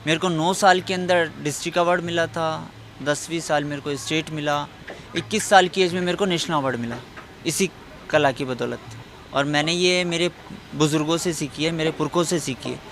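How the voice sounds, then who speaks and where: A man's voice: a little high, racing, a bit bright. A man from India, an artisan, answering spontaneously in an interview. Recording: noisy urban location sound.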